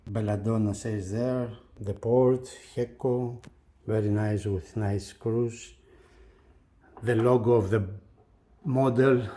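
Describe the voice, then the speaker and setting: A man with non-native English gives a casual product demonstration at a slow pace. He breaks his talk into short, halting phrases, and some background noise can be heard around his mid-pitched voice. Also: warm tone. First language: not English. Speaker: audio product reviewer and unboxing presenter.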